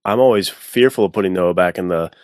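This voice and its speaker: A man's voice: deep voice, a little fast, dry recording, studio quality. A man, an author on a podcast, chatting casually in an enthusiastic, slangy, self-deprecating way. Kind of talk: casual chat.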